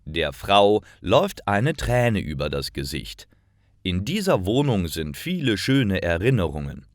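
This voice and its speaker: A man reading simple stories aloud in a clear, careful narration for language learners. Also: sits low, sing-song, measured pace, dry recording, studio quality. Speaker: storyteller for German learners. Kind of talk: scripted slow narration.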